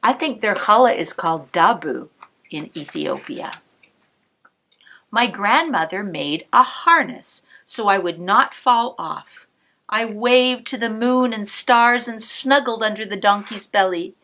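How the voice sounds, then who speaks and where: A woman cantor reading a picture book aloud to children, expressive, pausing to point out the pictures. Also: medium pitch. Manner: warm, expressive storytelling with asides to the listener.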